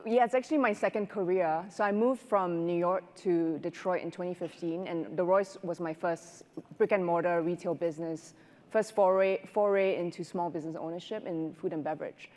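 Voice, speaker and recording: A middle-aged woman from Singapore answering conversationally as a panelist, halting as she thinks aloud, on a lapel mic on a large stage. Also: fairly low pitch, dark tone. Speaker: panelist, restaurateur and business owner. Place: large indoor conference stage. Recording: echoey auditorium stage, long reverb.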